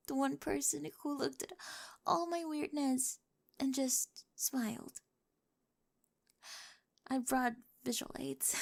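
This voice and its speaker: A woman plays an eager, data-obsessed young bride in an audio roleplay. Her voice sits high with a creaky edge, and she speaks in earnest, nerdy, exact terms, full of affection. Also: heavily acted. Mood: tender, earnest. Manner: earnest, nerdy, precise scientific phrasing. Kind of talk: scripted ASMR roleplay acting.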